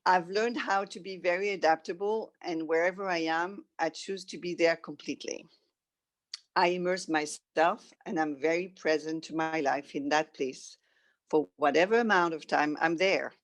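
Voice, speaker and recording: A woman's voice: fairly low pitch, moderate pace. French-accented middle-aged woman reading aloud. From France. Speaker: guest reading from her story. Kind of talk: reading a written personal narrative aloud.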